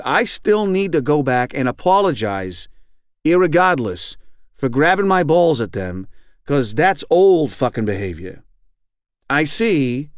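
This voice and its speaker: A man's voice: mid-range voice, clear, resonant tone, no echo, studio quality. A man doing a profane, rambling recovering hothead. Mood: bitter, heated. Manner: rambling, profane, keeps asking "you know what I'm saying". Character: angry, profane recovering man, middle-aged. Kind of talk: reading aloud a fictional monologue.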